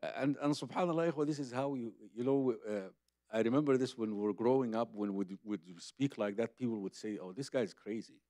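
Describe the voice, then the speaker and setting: A man's voice: medium pitch, fairly quick, dark tone, no room sound, studio quality. Casting: older male Islamic preacher, Egyptian accent, native Arabic speaker, conversational and emphatic, repeats key phrases, speaks into a stand mic. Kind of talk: conference religious lecture. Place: conference hall stage, seated at table. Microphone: microphone on a boom stand.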